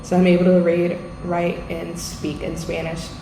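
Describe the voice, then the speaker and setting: A woman from the United States answers interview questions in an earnest, friendly way. Her voice sits somewhat low. The room is echoey, with clear background noise behind her. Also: completely flat delivery, moderate pace. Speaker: language assistant. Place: school room.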